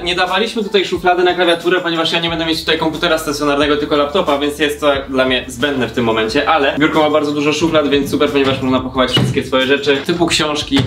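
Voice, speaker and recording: A young man in his twenties, chatting upbeat to viewers as he makes over a corner of his room, recorded on a camera mic. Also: on the high side. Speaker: vlogger. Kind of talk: casual room makeover vlog. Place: bright bare room, indoors. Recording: echoey empty room.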